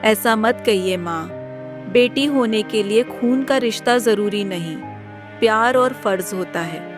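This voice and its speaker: Casting: woman as kind young village wife, storybook drama; higher voice, slow, soothing delivery. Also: over music, close to an everyday voice. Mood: caring. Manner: gentle, reassuring. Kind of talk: dramatized Hindi moral story.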